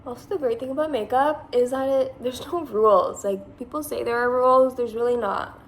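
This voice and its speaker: Woman, 20s, Californian accent, highish voice, casual rambling vlogger. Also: fairly even intonation, brisk, a little hiss or hum, rough recording. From Massachusetts, USA. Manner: chatty and rambling, lots of "like". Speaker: beauty vlogger. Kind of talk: casual makeup tutorial.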